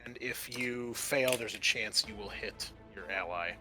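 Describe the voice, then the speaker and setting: A man's voice: lowish voice, a little slow. A man acting as game master, narrating scenes and explaining rules in a conversational way over background music. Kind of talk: narrating and ruling a tabletop roleplaying game.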